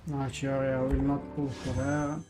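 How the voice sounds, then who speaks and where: A man's voice: medium pitch, completely flat delivery, normal speed. A man who is not a native English speaker gives casual live gameplay commentary, hesitantly thinking aloud. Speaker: game streamer. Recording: clear game music behind. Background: game music.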